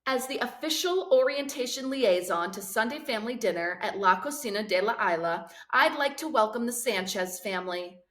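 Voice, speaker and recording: A woman voicing a lively, officious teenage girl in a storybook, announcing things in a chirpy, formal way. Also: fairly high pitch, normal speed, few pauses. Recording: roomy, echoing sound.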